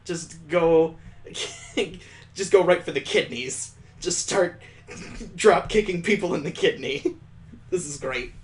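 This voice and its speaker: Higher-pitched man doing a sarcastic game read-along. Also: animated, slow pace. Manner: sarcastic, amused, breaks off to riff. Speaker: gaming streamer reading a visual novel aloud. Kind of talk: reading game text aloud with joking asides.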